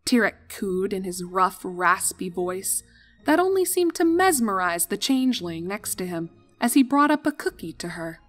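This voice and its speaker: A woman narrates a scripted fan story in an expressive storytelling style. Her voice sits at a medium pitch, with music playing underneath. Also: normal speed.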